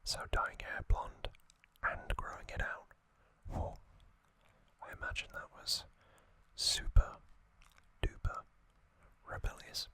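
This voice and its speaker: An ASMR host whispering slowly, rambling through trivia with fillers and musings. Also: pushed and bright, no echo. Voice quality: unvoiced whisper.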